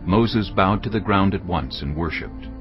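Deep-voiced male Bible narrator. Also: measured pace. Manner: steady, storytelling delivery. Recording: slightly dull audio with music bed.

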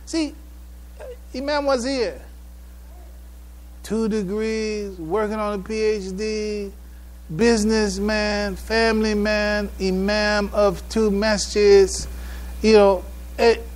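A man giving a religious public address, halting and repeating phrases for emphasis. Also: high voice, fairly even intonation, a little mellow. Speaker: speaker addressing a mosque congregation.

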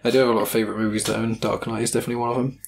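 A man's voice: medium pitch, flat delivery, racing, gravelly. A man hosting a podcast, chatting casually about films in a joking, teasing way. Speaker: podcast host. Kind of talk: casual film review chat.

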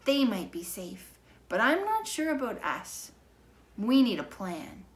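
A woman voicing a cautious, anxious young boy adventurer in a storybook, urgent and often hushed. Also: fairly high pitch, wildly swooping pitch, a little slow, pitched above the performer's own voice.

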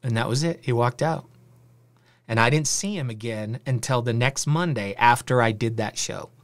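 Middle-aged man telling a story. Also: moderate pace. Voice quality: rather high voice. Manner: conversational, animated storytelling with filler words. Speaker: podcast host. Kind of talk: casual storytelling monologue. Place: home studio with virtual backdrop.

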